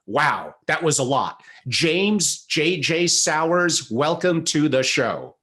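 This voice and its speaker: A man's voice: on the high side, sing-song, slow, bright, forceful tone. A man hosting an investing podcast on a video call, chatty and giving long disclaimers before his interview. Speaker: podcast host. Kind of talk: interview introduction.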